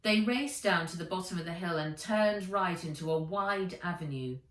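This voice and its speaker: A woman author reading her children's book aloud as a storyteller, in measured, expressive narration. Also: fairly low pitch. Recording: roomy echo, distant microphone.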